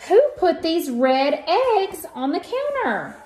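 A high-voiced American woman in her thirties does a homemade read-aloud for children. She leans on words in a sing-song way and chats casually. The room adds clear echo, with faint noise behind her. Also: very animated, takes it slowly, darkish tone. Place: small room at home.

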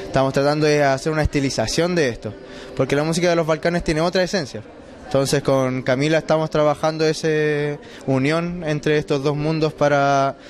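A man in his thirties, a musician answering informally in an interview on a handheld microphone. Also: on the high side. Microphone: handheld microphone held by interviewer. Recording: faint ambient noise.

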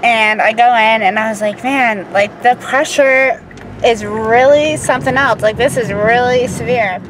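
A pregnant woman in her twenties chatting excitedly to her phone camera from inside a car. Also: a little high, noisy background. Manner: excitable and chatty, rushing through updates. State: heavily pregnant. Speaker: vlogger. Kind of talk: casual vlog talk to camera. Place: inside a parked or moving car. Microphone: phone at arm's length.

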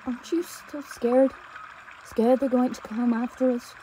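A woman playing a devoted, anxious young pastor's daughter on the run in an intimate audio drama, speaking tenderly and emotively with halting pauses. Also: on the high side, steady tone, mellow, a little hiss or hum, close to an everyday voice, low-quality recording. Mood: fearful, pleading. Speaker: audio roleplay performer. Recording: nature ambience with trickling stream water. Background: outdoor ambience sound effects, running water.

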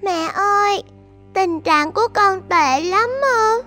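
A woman's voice: very high voice, takes it slowly, pushed and bright, soft background music, full character voice. A woman voicing a cheerful, sporty twelve-year-old girl in a cartoon, speaking in a polite, eager, childlike way. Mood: worried. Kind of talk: animated cartoon dubbing.

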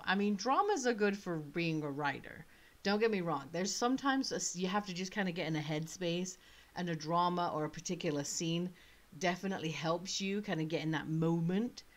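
A woman's voice: on the low side, moderate pace. A woman in her thirties with a slight British accent, chatting casually and thinking aloud as she plays an online choice game. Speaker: author playing a choice game. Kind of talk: casual commentary. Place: small room indoors.

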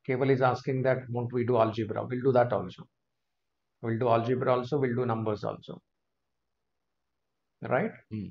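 A man in his thirties with an Indian English accent, teaching a live online class from a small room and chatting to viewers by name. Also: mid-range voice. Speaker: test prep teacher. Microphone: webcam corner of screen share. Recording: slightly dull lo-fi stream audio.

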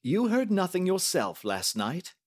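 A man voicing a brisk, astute Victorian gentleman detective with crisp, courteous questioning, in audiobook style. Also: sits high, huge pitch swings, voice pushed up, full character voice. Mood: probing. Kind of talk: audiobook character acting.

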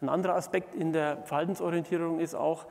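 A middle-aged man giving a conference keynote in a halting manner, with frequent pauses. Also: fairly high pitch, fairly even intonation, creaky, darkish tone. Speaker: keynote speaker, management consultant. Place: large indoor conference hall, on stage before seated audience. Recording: reverberant conference hall.

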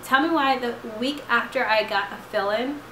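Slightly hoarse young woman chatting to camera. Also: on the high side, normal speed, bright, forceful tone, reverberant, slight background noise. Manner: chatty, rambling, lots of fillers. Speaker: vlogger. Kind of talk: casual chat to camera. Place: living room couch at night. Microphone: camera at close range.

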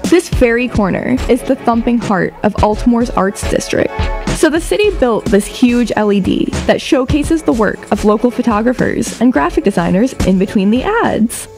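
Female narrator, higher register, playful scripted storytelling, music bed underneath. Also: animated, normal speed. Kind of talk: scripted audio drama narration.

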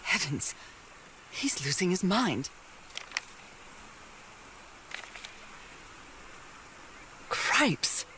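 A woman's voice: low-pitched, noisy background. An actress playing a curious, refined 1930s woman explorer in a video game, musing to herself in a genteel, articulate way. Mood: shocked. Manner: genteel, articulate, wonderstruck exclamations.

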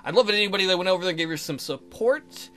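A man with a high, bright voice hosts a game let's play in a casual, joking way. His delivery swings up and down a lot. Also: animated, rapid-fire.

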